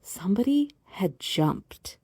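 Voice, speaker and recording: A woman's voice: medium pitch, big pitch swings, takes it slowly, warm tone, husky, no room sound, studio quality, exaggerated voice. A woman acting in an audio play as a family member uneasy about a haunted house.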